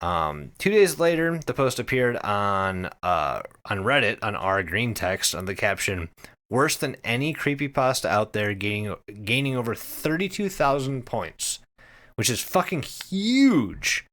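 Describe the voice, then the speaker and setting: Bright-voiced, sweary male podcast co-host. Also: mid-range voice, big pitch swings, measured pace. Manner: sweary, emphatic. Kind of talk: casual podcast storytelling.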